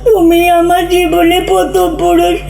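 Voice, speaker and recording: A woman's voice: very high voice, monotone, slow, vocal fry, a little mellow, reverberant, faint music behind, exaggerated voice. A woman playing an easily hurt, devoted wife, overdramatic and pleading, in a comic audio play. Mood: tearful pleading.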